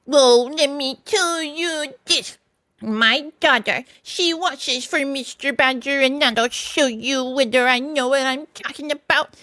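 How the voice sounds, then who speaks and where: A cheeky, defiant washerwoman as a woman storyteller puts her on. Her voice jumps high and she draws her words out pertly. The recording is dry. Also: sharp tone, no echo, heavily acted. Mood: threatening. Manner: pert, defiant, drawn-out. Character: cheeky, brazen washerwoman, a disguise persona. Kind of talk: storybook character voice.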